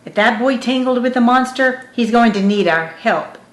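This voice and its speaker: A woman's voice: a little high, moderate pace, natural voice. A woman voicing a tired, concerned male caterpillar in a storybook, speaking in an urgent, no-nonsense manner. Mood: urgent, brusque. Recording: roomy, echoing room.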